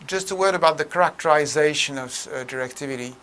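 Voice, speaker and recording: A man presenting a technical tutorial in an explanatory way, with a halting, hesitant delivery full of mid-phrase pauses. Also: on the high side, a bit bright.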